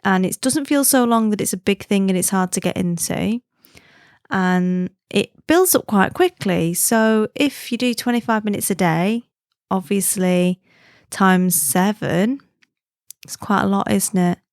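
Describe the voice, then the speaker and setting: Uk woman chatting on her podcast, mid pitch, dead dry close mic, laughs easy. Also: unhurried, a little mellow, dry recording. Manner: friendly and chatty, laughs easily. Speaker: podcast host. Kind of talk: casual chatty podcast monologue.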